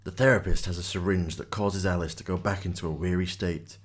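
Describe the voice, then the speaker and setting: A man's voice: low voice, steady tone, brisk, a little hiss or hum. A man reading scripted narration as the storyteller of a radio drama.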